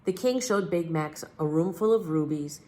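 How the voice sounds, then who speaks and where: A woman reading a children's book aloud as a storyteller, with an expressive, theatrical delivery. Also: on the low side, normal speed.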